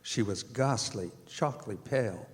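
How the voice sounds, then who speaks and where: A man's voice: lowish voice, normal speed, lightly acted. Man acting an earnest doctor in echoing hall. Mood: earnest, formal. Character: earnest doctor, anxious devoted fiancé, narrating. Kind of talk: radio drama narration and dialogue. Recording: echoey hall, live stage performance.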